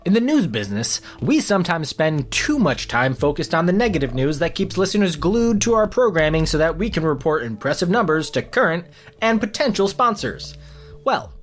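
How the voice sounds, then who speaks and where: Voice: mid-range voice, big pitch swings, moderate pace. A performer playing a relentlessly upbeat, gullible news co-anchor with an earnest, salesy announcer delivery in a satirical broadcast. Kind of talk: scripted satirical newscast and mock ads.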